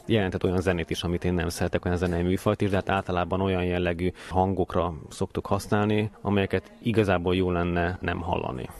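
Very deep, gravelly man explaining. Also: level tone, few pauses. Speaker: university lecturer and noise expert being interviewed. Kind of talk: explanatory interview answers.

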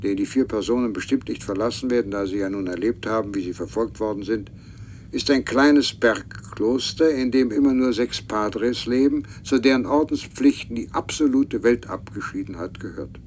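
An actor playing a solemn, formal official reading a letter deliberately in a German radio play. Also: male voice, on the low side, darkish tone, slight background noise, close to an everyday voice. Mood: solemn, measured.

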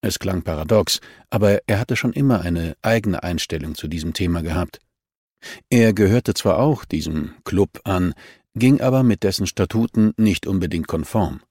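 A man's voice: low-pitched, animated, moderate pace, a little mellow, no room sound, studio quality. A man narrating a crime novel as a scripted audiobook reading.

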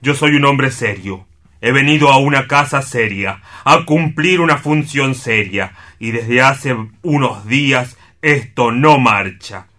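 Gloomy, bright-voiced sanatorium orderly. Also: male voice, medium pitch, measured pace. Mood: solemn indignant. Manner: grave, formal, plaintive. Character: gloomy, solemn, indignant male sanatorium orderly, war-scarred. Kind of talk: radio play acting.

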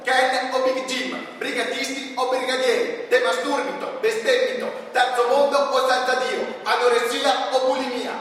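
Very high, sardonic stage reciter. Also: male voice, a bit bright. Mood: interrogating, blunt. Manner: declamatory, repetitive, clipped. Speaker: stage actor. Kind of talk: chanted satirical theatre recitation of lists. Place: theatre stage, recorded at a distance. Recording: echoey hall from far off, faint noise.

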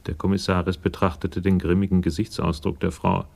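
An actor as the narrator of a German radio crime drama, telling the story in a sober, detached manner. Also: male voice, sits low, flat delivery, fairly quick, gravelly, darkish tone.

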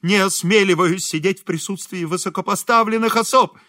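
A man's voice: high-pitched, fairly quick, sharp tone, dry recording, studio quality, voice pushed up. A man voicing a meek, obstinate, fawning priest who pleads humbly in a comic audiobook. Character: meek, fawning, obstinate bearded male priest begging on knees. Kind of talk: audiobook character acting.

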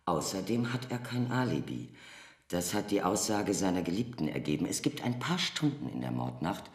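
A woman's voice: rumbling low, big pitch swings, moderate pace, exaggerated voice. An actress playing an ambitious woman state prosecutor in a radio drama, with an emphatic, theatrical delivery. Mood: composed, official. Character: ambitious woman state prosecutor, composed yet easily indignant.

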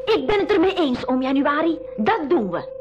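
A woman's voice: sits high, big pitch swings, moderate pace. An actress playing a wary, questioning young girl on an adventure in a school radio play. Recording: dull, noisy 1980s radio drama recording.

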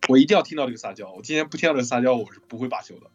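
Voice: mid-range voice, animated, rapid-fire, breathy edge, highs cut off, soft background music. A host of a cast livestream chatting casually and teasing in a playful way. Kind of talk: casual hosting chat with teasing.

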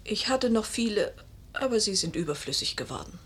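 Breathy, troubled woman in a radio play. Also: medium pitch, animated, fairly bright tone. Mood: guarded. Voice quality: breathy, often dropping to a whisper. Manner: introspective, measured literary delivery. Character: troubled woman mistaken for another, guarded and dreamy. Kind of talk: German radio play narration and dialogue.

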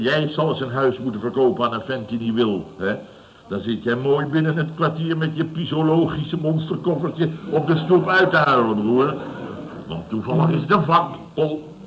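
Man playing a blustering, forceful, pompous boss. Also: mid-range voice, normal speed, close to an everyday voice, poor audio. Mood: scornful. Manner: pompous, scornful, repeats himself, laughs at own jokes. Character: blustering, self-satisfied contractor boss, heavily built. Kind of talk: radio comedy serial acting.

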